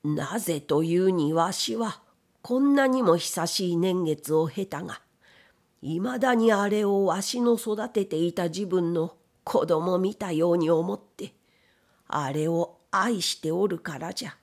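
Storybook role: proud, embittered elderly mill owner played by a woman, weary, creaky, unhurried. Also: on the low side, a little mellow. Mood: grieving, wounded. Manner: weary, old-fashioned elderly man's speech. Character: old blind factory owner, proud, bitter, grieving father. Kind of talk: dramatic literary reading.

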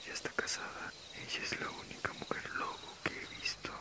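A man voicing a modest, friendly young male werewolf in a breathy, casual whisper for an ASMR roleplay. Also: normal speed, natural voice. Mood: casual confiding. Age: young adult. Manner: casual, unassuming.

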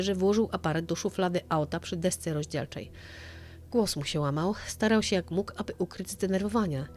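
A woman's voice: fairly low pitch, a little mellow. A woman narrating a true-crime story as a dramatized audio reading.

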